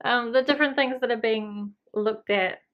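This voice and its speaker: A woman's voice: fairly high pitch, moderate pace. A woman chatting casually to camera as a book vlogger, rambling along with self-deprecating, trailing asides. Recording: slightly dull lo-fi home recording.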